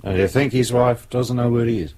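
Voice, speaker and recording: A British actor playing an authoritative senior police superintendent in a radio drama, formal and deliberate. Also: male voice, mid-range voice, fairly even intonation, darkish tone, close to an everyday voice. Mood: measured.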